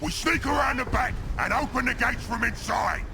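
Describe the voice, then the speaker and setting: A man playing a brutish, boastful, battle-hungry orc warboss in a video game, with a gravelly, coarse, bellowing delivery. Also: extremely high pitch, normal speed, very noisy. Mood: boastful, fired up. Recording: loud music under the voice.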